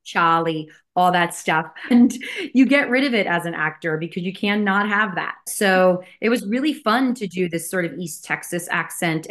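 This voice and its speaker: A woman from New Jersey, a film director and actress, answering interview questions in a chatty way with many fillers and restarts. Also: lowish voice.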